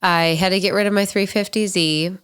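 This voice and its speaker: A woman's voice: mid-range voice, fairly even intonation, a little fast, a bit bright, clear, resonant tone. A woman in her twenties hosting a podcast, telling stories in a candid, chatty way. She sounds a little nasal and stuffy from allergies. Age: 20s. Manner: candid, chatty, frequent ums and likes. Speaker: podcast host. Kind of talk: casual solo storytelling.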